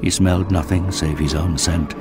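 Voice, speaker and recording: A man giving dramatic, scripted narration of an action audio drama in a grave, measured storytelling manner. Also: sits low, steady tone, over music.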